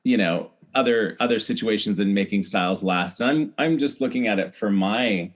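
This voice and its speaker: A man speaking as a podcast guest in a casual, earnest, explanatory way, often halting to correct himself. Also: fairly low pitch. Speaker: podcast guest, celebrity hair stylist. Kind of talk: casual conversational interview.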